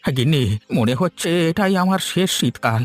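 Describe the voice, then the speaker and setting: A man's voice: fairly high pitch, animated, moderate pace. A man voicing a sick, self-pitying old village husband in a cartoon, talking in a weak, complaining way. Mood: weak, despairing. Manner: plaintive, complaining. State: sick, coughing. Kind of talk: cartoon voice acting.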